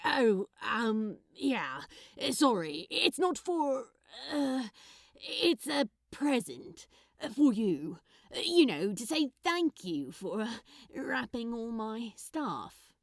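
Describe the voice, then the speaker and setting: A woman's voice: a little high, very animated, slow pace, creaky, no room sound, clean studio sound, full character voice. A woman voicing a shy, lovestruck man who mumbles and stammers hesitantly in a story reading. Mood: nervous, bashful. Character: flustered, lovestruck man stammering shyly through small talk. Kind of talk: storybook character dialogue in fan fiction reading.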